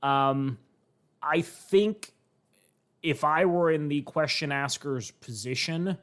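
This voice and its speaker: A man co-hosting a casual podcast, giving measured, deliberate opinions. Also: a little high, fairly bright tone. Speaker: podcast co-host.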